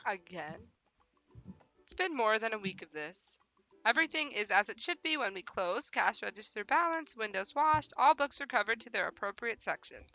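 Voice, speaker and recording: A woman streaming a visual novel, reading it aloud with animated, slangy, foul-mouthed commentary. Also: fairly high pitch, moderate pace, no echo, low-quality recording. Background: faint music.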